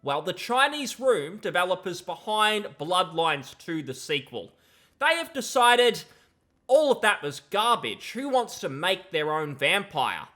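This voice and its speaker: Young male reaction commentator: forceful bright delivery, slightly high voice, dismissive and sardonic. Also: big pitch swings, a little slow. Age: young adult. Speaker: video commentator. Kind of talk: casual opinionated reaction commentary. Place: small plain room indoors. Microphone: close boom-arm mic, headset on.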